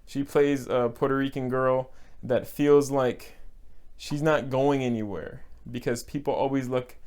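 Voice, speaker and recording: A man's voice: medium pitch, fairly even intonation, measured pace, a little mellow. A young man from Texas giving an earnest, emotional film review, repeating words for emphasis and laughing now and then. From Texas, United States. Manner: breaks into short laughs. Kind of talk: casual movie review.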